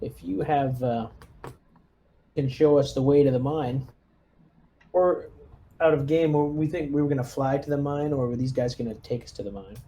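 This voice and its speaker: A man chatting casually as a player in a tabletop game, speaking politely and haltingly. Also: mid-range voice, dark tone. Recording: noticeable background noise.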